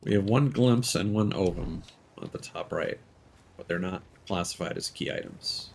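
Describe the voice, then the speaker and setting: A man's voice: low voice, moderate pace, creaky, darkish tone, a little hiss or hum. A man streaming a game, thinking aloud in loose, rambling commentary full of "like"s. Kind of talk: casual live-stream commentary.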